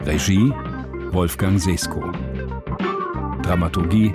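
A deep-voiced man reads scripted radio drama credits as an announcer. His pace is slow, over prominent plucked guitar music.

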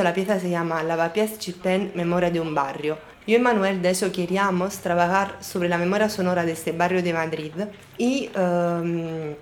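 A woman with an Italian accent, Italian being her first language, answering interview questions as a radio artist. She talks thoughtfully, pausing often to search for her words. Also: fairly low pitch, slight background noise. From Naples, Italy.

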